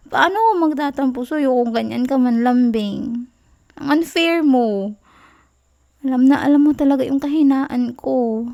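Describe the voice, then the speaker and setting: A young woman playing a clingy, pouty, playful girlfriend in an intimate ASMR roleplay, with a Filipino accent and a soft, whiny, teasing delivery. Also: sits high, gravelly, dull audio, heavily acted. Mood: tender, melting.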